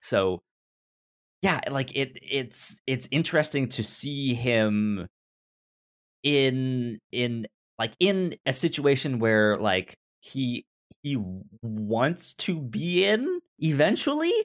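A man co-hosting a podcast, casually discussing a film in a halting way, often repeating and restarting his words. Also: mid-range voice, very animated. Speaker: podcast co-host reviewing a film.

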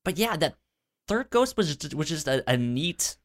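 A man's voice: a little high, big pitch swings, moderate pace. A man co-hosting a casual film discussion, stuttering often as he repeats sounds and syllables. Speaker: podcast co-host.

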